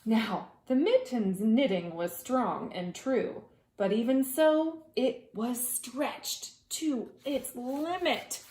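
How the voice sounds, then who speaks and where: A woman preschool teacher reading a children's story aloud in a dramatic way, with hushed asides to young listeners. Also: a little high, lively intonation, slow pace, echoey room.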